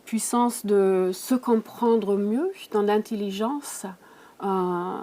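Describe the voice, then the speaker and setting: A woman at a conference interview speaks very slowly and gropes for her words, pausing on many fillers. Her voice has a soft, mellow tone. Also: mid-range voice. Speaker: coach.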